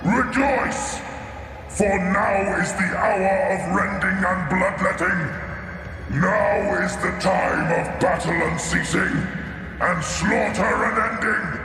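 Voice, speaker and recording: A man's voice: high voice, slow, bright, forceful tone, full character voice. A man playing a gloating, bloodthirsty demon lord in an audio drama, grandiose and taunting. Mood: exultant, gloating. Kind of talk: theatrical audio drama acting. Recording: cavernous echo, long reverb, faint music.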